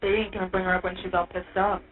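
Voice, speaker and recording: A woman's voice: lowish voice, level tone, quick pace. A woman in a casual, blunt phone conversation from jail, heard over a narrow phone line. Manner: blunt, profane. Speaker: inmate phoning from jail.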